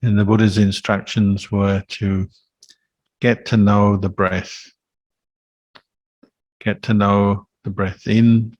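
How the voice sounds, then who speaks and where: Slow, low-voiced man guiding meditation. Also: no room sound, muffled recording. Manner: calm, unhurried, gentle pauses between phrases. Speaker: Buddhist monk teacher leading meditation. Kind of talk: guided meditation instruction.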